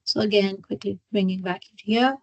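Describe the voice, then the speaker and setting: A woman trainer walks through software on a live online session. Her pitch is mid-range and she explains step by step, somewhat haltingly. The sound is dry, with very little room in it. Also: fairly even intonation, no room sound, highs cut off. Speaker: software trainer hosting a webinar. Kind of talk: live screen-share product walkthrough.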